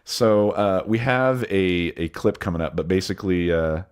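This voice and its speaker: Low-voiced male podcast co-host, recovering from illness, laid-back storyteller. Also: moderate pace, clear, resonant tone. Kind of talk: casual chat recapping episodes.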